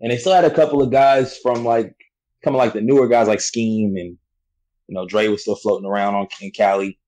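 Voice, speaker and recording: A young man with a low voice talks in a loose, slangy way. He keeps dropping "you know what I'm saying" as he tells his story, and his delivery is relaxed and unhurried. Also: poor audio. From United States. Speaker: battle rapper guest. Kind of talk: casual interview chat.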